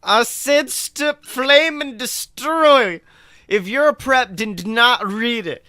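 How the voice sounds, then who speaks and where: A man's voice: extremely high pitch, takes it slowly, sharp tone, no room sound, clean studio sound, pitched above the performer's own voice, exaggerated voice. A man voicing an angry, melodramatic teenage emo girl, petulant and sweary, in a mock fan fiction reading. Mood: petulant anger.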